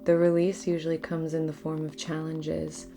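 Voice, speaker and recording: Female spiritual host, lowish voice, gentle and near-whispering, casual guided-ritual delivery with music clearly underneath. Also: monotone, normal speed. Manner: soft-spoken, often slipping into near-whisper.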